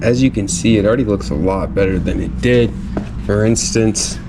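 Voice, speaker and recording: A man's voice: lowish voice, normal speed, noisy background. A man, sounding stuffy from a cold, talking casually through a do-it-yourself wheel restoration outdoors. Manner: relaxed, chatty, self-deprecating. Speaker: car DIY vlogger. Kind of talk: casual how-to narration. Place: outdoors while working on wheels.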